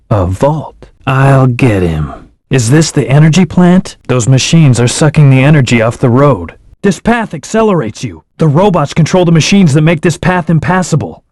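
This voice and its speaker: A cold young hedgehog antihero for a game, terse and commanding, mid-pitched, bone-dry studio sound. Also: male voice, very animated, moderate pace, a little mellow, dry recording. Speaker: voice actor. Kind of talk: video game voice acting with gameplay hints.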